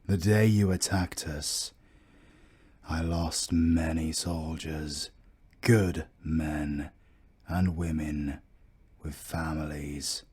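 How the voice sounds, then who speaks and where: A proud British captain , acted by a man, from a fantasy audio drama. His voice sits low and mellow. He speaks formally and with measured care, stiff but courteous. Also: breathy edge, full character voice. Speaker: voice actor. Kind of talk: romantic fantasy audio roleplay acting.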